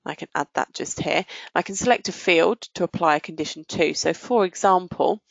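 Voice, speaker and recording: Low-pitched creaky woman presenting software. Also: brisk, no echo, muffled recording. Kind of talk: conversational software walkthrough.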